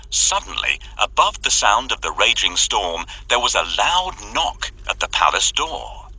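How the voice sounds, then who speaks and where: A male storyteller reads a children's tale slowly and dramatically. His bright, forceful voice has a husky edge and an expressive delivery. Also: medium pitch. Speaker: storybook narrator. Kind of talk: scripted children's story narration.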